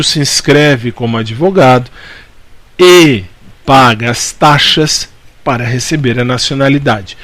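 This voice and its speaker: A man's voice: mid-range voice, no echo. A Brazilian man giving an informal exam-prep law lecture, repeating key points and answering his own questions in a didactic way. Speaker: law teacher.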